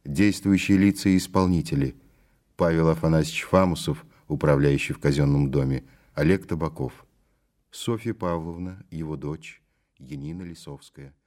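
A man making a formal, measured radio announcement as the presenter introducing a play. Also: a bass voice, a little mellow.

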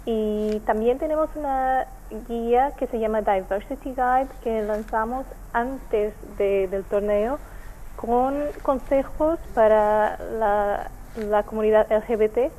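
A woman with a Portuguese accent and a soft, mellow, fairly high voice explains her organization's work in an interview. She speaks carefully and haltingly, searching for words as a native Portuguese speaker. Light noise sits behind her. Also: low-quality recording. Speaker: NGO representative being interviewed. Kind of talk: explanatory radio interview answers.

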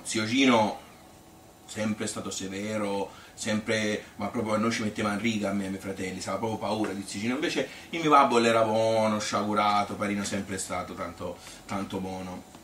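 A man's voice: on the low side, moderate pace, fairly bright tone, reverberant, recorded from a distance, a little hiss or hum. An actor playing an elderly Tuscan grandmother who reminisces in a rambling, repetitive, colloquial way, as a theatrical monologue. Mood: reminiscing. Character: elderly Tuscan grandmother Annunziata reminiscing about her hard childhood. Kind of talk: theatrical monologue, spontaneous spoken storytelling.

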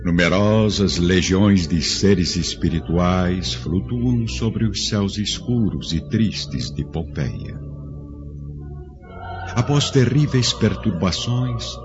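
A man narrating a radio drama in a grave, measured storytelling manner. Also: low-pitched. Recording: dull lo-fi audio with strong music.

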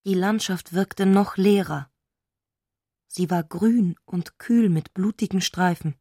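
A woman's voice: medium pitch, slow, warm tone, dry recording, studio quality. A woman with speech reads scripted literary narration for a radio play.

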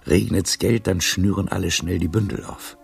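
Gravelly, very deep old Casanova. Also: male voice, fairly even intonation, faint music behind. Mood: wistful, dreamy. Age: older. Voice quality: husky, gravelly, breathy murmur. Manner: slow, halting, savouring storytelling. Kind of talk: intimate radio drama acting.

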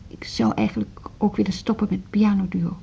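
An actress playing a hesitant, apologetic woman in an intimate radio drama, with a soft, husky, halting voice. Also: mid-range voice, little pitch movement, slight background noise, lightly acted. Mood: subdued, apologetic. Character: hesitant, apologetic pregnant woman, a music school co-director. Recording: dull lo-fi radio drama recording.

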